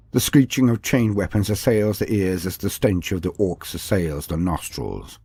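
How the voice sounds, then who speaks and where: Man, dramatic lore narration, warm dark timbre, dry close recording, music behind. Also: deep voice, husky, dry recording. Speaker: narrator of a fantasy war-game lore video.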